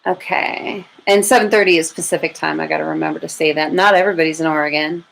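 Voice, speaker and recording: Lower-voiced American woman reading tarot live. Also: steady tone, quick pace, poor audio. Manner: informal, thinking aloud, addresses viewers affectionately. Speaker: tarot reader hosting a live stream. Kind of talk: casual live tarot reading, chatting to viewers. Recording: clear background noise and room ambience.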